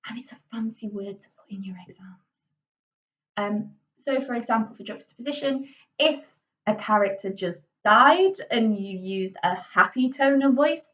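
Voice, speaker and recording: A woman's voice: a little high, recorded from a distance, muffled recording. Chatty Yorkshire woman teaching drama. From Yorkshire, England. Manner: chatty, with many ums and self-corrections. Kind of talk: casual recorded lesson.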